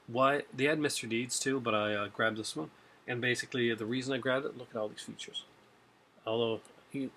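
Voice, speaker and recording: A man's voice: medium pitch, sharp tone, slight background noise. A middle-aged man with a light Newfoundland accent, chatting casually and rambling about his purchases with many fillers, filmed by webcam at home. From Newfoundland, Canada. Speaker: video host. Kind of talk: casual chat showing purchases. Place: home room with shelves and a TV.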